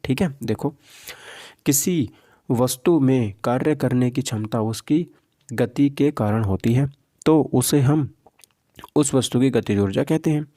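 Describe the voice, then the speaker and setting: A man's voice: mid-range voice, mellow, no echo, clean studio sound. A man teaching an exam practice lesson informally, posing questions and answering them himself. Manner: informal, Hindi mixed with English terms. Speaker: physics teacher.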